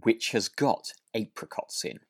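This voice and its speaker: A man's voice: lowish voice, animated, unhurried, vocal fry, no echo, clean studio sound. A British man co-hosting a podcast, chatting casually in a hesitant, meandering way. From United Kingdom. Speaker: podcast co-host. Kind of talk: casual chat.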